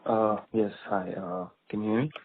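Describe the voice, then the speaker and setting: A man's voice: on the low side, animated, moderate pace, creaky, a crowd in the background. A man, an analyst putting questions on a conference call. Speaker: investment analyst asking questions. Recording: phone-like line, faint noise.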